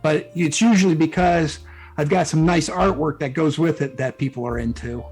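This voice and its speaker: A man being interviewed, chatting casually as a guest and explaining things in a halting, self-interrupting way. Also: fairly high pitch, darkish tone, breathy edge. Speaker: interview guest, fan fiction author and musician. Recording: music playing behind the voice. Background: music.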